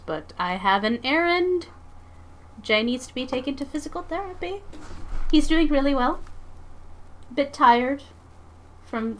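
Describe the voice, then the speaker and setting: A woman livestreamer chatting casually with her viewers in a playful, expressive, giggly manner. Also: mid-range voice, slight background noise. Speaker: live streamer and comics artist.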